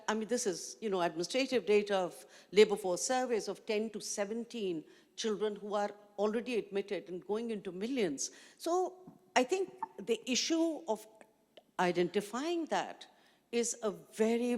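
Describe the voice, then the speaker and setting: Urdu-speaking woman on a conference stage. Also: medium pitch. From Pakistan. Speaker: panelist. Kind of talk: panel discussion. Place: large conference hall stage. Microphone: handheld mic. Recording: long hall reverb.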